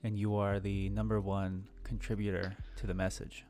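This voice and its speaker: Guy with a lowish voice, casual chat as livestream cohost. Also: level tone, normal speed, a little mellow, soft background music. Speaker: livestream co-host. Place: small room indoors on a video call.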